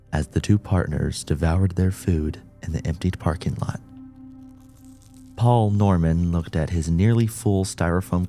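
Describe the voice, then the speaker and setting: Low, dark-voiced man narrating fiction. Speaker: audiobook narrator.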